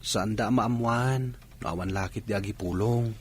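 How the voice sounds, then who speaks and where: A man's voice: fairly low pitch, measured pace, heavy background noise, natural voice. A male actor with an Ilocano accent playing a persistent young student suitor in a radio drama. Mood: pleading.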